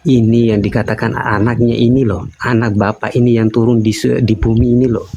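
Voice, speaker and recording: A man putting questions in a debate over a voice call, halting and restarting while he keeps checking for agreement. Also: mid-range voice, level tone, gravelly, mellow, slight background noise. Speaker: caller questioning a guest.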